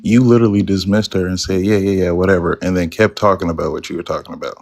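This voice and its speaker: Insistent needling man with a low voice. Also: steady tone, quick pace, vocal fry, a little mellow. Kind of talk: live call-in debate stream.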